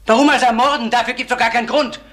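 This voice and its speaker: Forceful, shady club boss. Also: male voice, very high-pitched, steady tone, rapid-fire. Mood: indignant, defensive. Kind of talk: German crime radio play acting.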